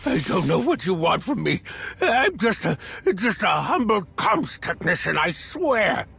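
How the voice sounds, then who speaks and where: High-pitched, creaky, nervous slicer. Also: male voice, very animated, measured pace, sharp tone, soft background music, heavily acted. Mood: frightened pleading. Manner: nervous, stammering, pleading. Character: cowardly, whining male slicer, self-serving and aggrieved. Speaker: captured comms technician informant. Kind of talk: video game cutscene acting.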